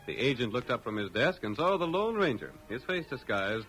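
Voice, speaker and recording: A man's voice: mid-range voice, sing-song, brisk, fairly bright tone, faint music behind. A man delivering scripted narration in the style of a 1940s radio western.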